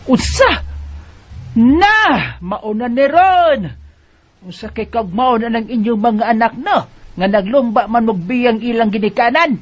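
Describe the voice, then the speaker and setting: An actress playing a scornful, sharp-tongued woman in a Bisaya radio drama, scolding with emphatic delivery and a Filipino accent. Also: a little high, animated, measured pace, highs cut off, a little hiss or hum. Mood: indignant. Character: scornful, sharp-tongued woman breaking bad news to a man.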